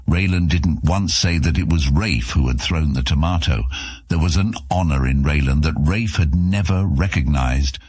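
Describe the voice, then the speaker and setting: Deep, husky male storyteller with lively delivery. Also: no echo. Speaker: narrator of a fantasy audio serial. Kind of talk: dramatic scripted storytelling narration.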